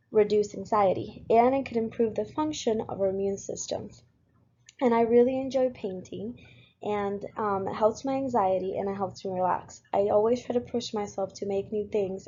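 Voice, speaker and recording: A woman's voice: mid-range voice, slightly rough, muffled recording. Young woman from Puerto Rico reading a presentation. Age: young adult. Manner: steady, earnest delivery with occasional fillers. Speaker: student presenter.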